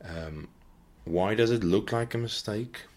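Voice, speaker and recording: A man with a very low voice hosts a Bible teaching podcast. He talks off the cuff in an earnest way, often pausing to find the next word. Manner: earnest, searching for words as he goes. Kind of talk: unscripted teaching talk.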